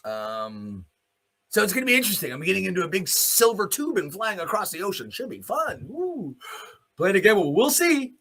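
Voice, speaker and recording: Blunt, bright-voiced man ranting. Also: sits high, wildly swooping pitch, moderate pace. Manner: blunt, swearing freely. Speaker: host of a solo news commentary show. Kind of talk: unscripted opinionated monologue.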